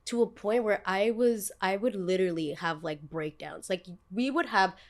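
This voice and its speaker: A young woman with a New Jersey accent hosting a podcast, telling stories in an animated, emphatic way with quick asides. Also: mid-range voice. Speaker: podcast host. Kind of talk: casual chat.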